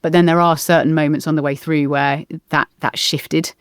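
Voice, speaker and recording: Woman in her forties as a reflective interview guest; measured pace, slightly low pitch, dry sound. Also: flat delivery, clean tone, no echo. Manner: thoughtful, measured, pauses with ums. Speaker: podcast guest. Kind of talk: reflective conversation.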